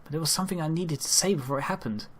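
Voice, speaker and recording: A man narrating a first-person story dramatically, in an earnest, measured and subdued way, as an amateur reader. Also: fairly high pitch, breathy edge.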